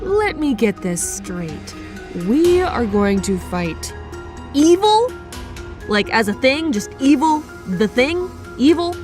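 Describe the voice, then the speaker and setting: Sardonic runaway, jaded, unhurried, swinging intonation, radio drama. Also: female voice, high-pitched, big pitch swings, a little mellow, soft background music, heavily acted. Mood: incredulous, dry. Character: jaded, sardonic woman fugitive, skeptical and blunt.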